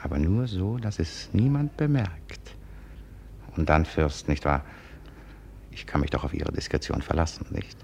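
A man playing an irritable, scheming secretary in a 1950s radio drama, halting and insistent. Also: very deep voice, vocal fry, darkish tone, exaggerated voice. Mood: urgently pleading. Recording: noisy 1950s radio play recording.

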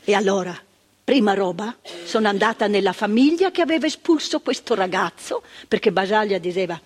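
An older actress playing a frank, earnest former psychiatric nurse in a stage monologue. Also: female voice, mid-range voice, expressive, brisk, breathy edge. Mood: earnest recollection. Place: theatre stage.

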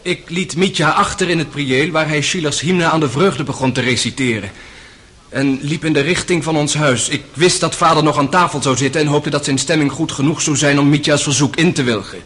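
An earnest young novice played by a man in a radio drama. His voice sits a little high for a man. He speaks in a gentle, sober and sincere way, measuring his words. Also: a little fast, close to an everyday voice. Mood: measured recounting. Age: young adult.

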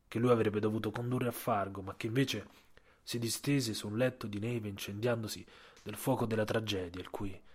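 Guy narrating a literary audio story, deep-ish husky voice, theatrical delivery. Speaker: writer and narrator.

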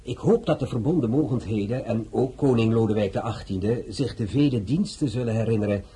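A man playing a scheming, ingratiating police minister in a radio play, with a smooth, mockingly polite manner. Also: mid-range voice, fairly quick, warm tone. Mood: sly. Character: sly, scheming male police minister, middle-aged.

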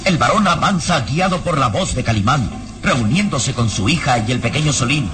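A man narrating a radio drama in a measured, vivid storytelling manner. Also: mid-range voice, animated, over music.

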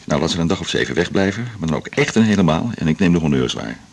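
An actor playing a blunt, supportive senior male doctor in a Dutch radio drama, husky and unhurried, in a naturalistic conversational style. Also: sits low, big pitch swings, a little hiss or hum, full character voice. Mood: wry, concerned. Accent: Dutch from the Netherlands.

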